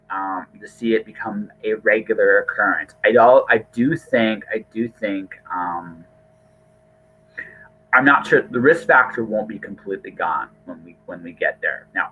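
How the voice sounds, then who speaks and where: Male podcast host, husky, mid-pitched, rambling and conversational, heard through narrow phone-quality audio. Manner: rambling, repeats and rephrases himself. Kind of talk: casual interview chat. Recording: thin, phone-like call audio.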